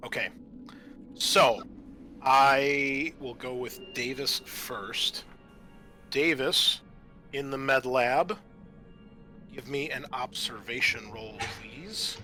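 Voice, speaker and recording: Casual male game master, bright mid-range voice, tabletop session, light music bed. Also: mid-range voice, takes it slowly, pauses often.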